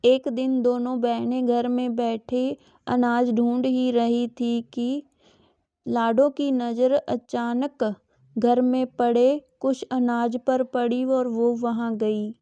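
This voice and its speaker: A woman narrating a scripted children's story in a measured, even storytelling manner. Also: a little high, smooth, no room sound, lo-fi sound.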